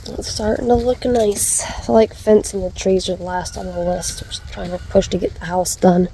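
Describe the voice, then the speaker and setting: Congested woman with a gravelly voice. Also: medium pitch, mellow, a little hiss or hum, rough recording. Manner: chatty, rambling, with frequent ums and restarts. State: stuffy, congested, drowsy. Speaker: vlogger. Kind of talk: casual vlog update.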